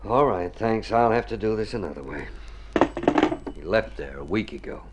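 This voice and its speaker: An actor playing a worried, tender family friend in mid-century radio drama, earnest and trying to stay practical. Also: male voice, lowish voice, expressive, normal speed, very noisy, close to an everyday voice. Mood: doubtful.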